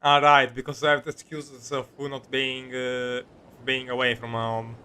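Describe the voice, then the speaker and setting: Bright-voiced male game streamer, rambling. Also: mid-range voice, little pitch movement, unhurried, a little hiss or hum. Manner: rambling, jokey, repeats himself. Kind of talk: casual live game commentary. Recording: streamer voice over game music. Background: game music.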